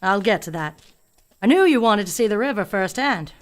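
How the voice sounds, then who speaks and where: A woman's voice: mid-range voice, lively intonation, normal speed, close to an everyday voice. A woman in a radio play acting a friendly but guarded country correspondent.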